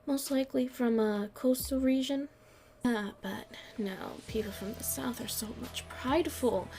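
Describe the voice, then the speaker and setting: A woman playing a shrewd, proud nightclub headliner in the mafia in an ASMR roleplay, speaking deliberately in a haughty, taunting manner. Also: a little high, over music. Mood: coolly analytical. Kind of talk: ASMR roleplay audio drama.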